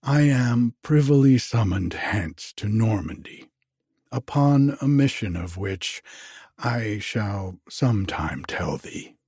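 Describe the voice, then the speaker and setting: A man's voice: lowish voice, lively intonation, slow, darkish tone, breathy edge, no room sound, clean studio sound, heavily acted. A man voicing an anguished young lover in a storybook tale, pleading fearfully in archaic, tremulous speech.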